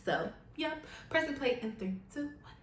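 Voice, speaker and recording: A woman's voice: high-pitched, animated, normal speed, slight background noise. A woman hosting a casual reaction video, speaking in an effusive, giggly, gushing way as she comments. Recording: roomy echo, music playing behind. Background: pop music from the video being watched.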